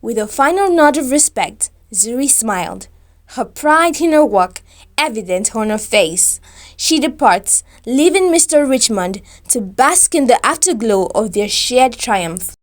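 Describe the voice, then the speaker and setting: A woman's voice: a little high, lively intonation, measured pace. A woman storyteller reading a scripted romantic tale in a formal, descriptive, careful manner.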